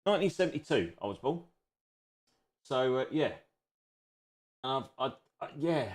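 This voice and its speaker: A man's voice: mid-range voice, expressive, unhurried. A man in his fifties chatting casually on a live stream while seated at a home racing simulator, self-deprecating and chuckling often. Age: 50s.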